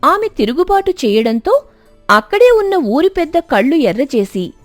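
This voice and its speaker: A woman narrating a scripted moral story dramatically, with expressive, emphatic delivery. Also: high-pitched, normal speed, no room sound, faint music behind.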